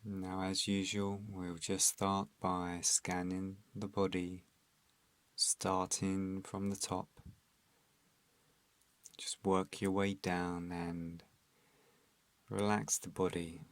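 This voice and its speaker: A man narrating a guided meditation in a calm, soothing, instructional manner, leaving long pauses between phrases. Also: sits low, clear, resonant tone, dry recording.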